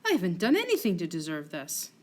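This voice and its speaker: Woman reading a gentle, dutiful wife. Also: on the low side, huge pitch swings, quick pace. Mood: hurt, meek. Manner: sweet, sincere, submissive. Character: gentle, dutiful young noblewoman, wronged wife.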